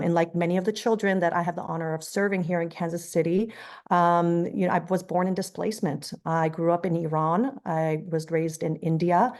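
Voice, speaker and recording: A woman's voice: fairly low pitch, a little mellow. A woman from Afghanistan, a non-profit executive director, speaking earnestly and with passion on a video call panel. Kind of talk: panel introduction, personal story.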